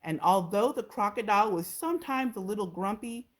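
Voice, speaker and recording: A woman's voice: mid-range voice, lively intonation, fairly quick. A woman reading a children's storybook aloud as a storytime narrator. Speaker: storytime reader.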